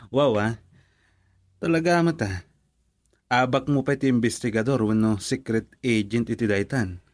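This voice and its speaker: A man's voice: medium pitch, unhurried, long pauses, no room sound, natural voice. An actor playing an easygoing young man who teases his friend and laughs easily, in a radio drama. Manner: casual, teasing, laughs easily.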